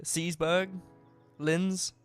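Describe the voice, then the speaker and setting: An Australian man talks loosely while streaming, his voice sitting a little high for a man. He rambles, trails off, and restarts his sentences in an easygoing, self-deprecating way. Soft music hums faintly underneath. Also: level tone, extremely slow, smooth. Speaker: game streamer. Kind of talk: casual live-stream chat while driving.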